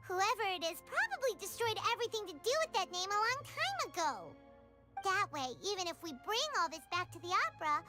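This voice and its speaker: A woman voicing a small, excitable girlish fairy companion in a video game, with a squeaky childlike voice and expressive delivery. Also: fast talker. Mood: determined. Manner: expressive, refers to herself by name. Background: game music.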